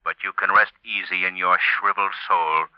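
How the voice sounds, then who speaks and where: An actor playing a suave, measured crime-fighting gentleman with a polished delivery, in 1940s radio drama style. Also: low voice, steady tone, no room sound, lightly acted. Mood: stern, taunting. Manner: measured, polished, sternly mocking. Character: suave crime-fighting gentleman, an unseen taunting avenger of justice. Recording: muffled old radio recording.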